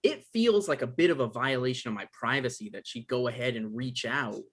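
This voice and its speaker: A man's voice: mid-range voice, lively intonation, fairly quick, breathy edge. A man playing a defensive, self-important novelist who whines and argues, in a table read of a screenplay. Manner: argumentative, exasperated. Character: defensive, self-important male novelist, whiny and quick to anger.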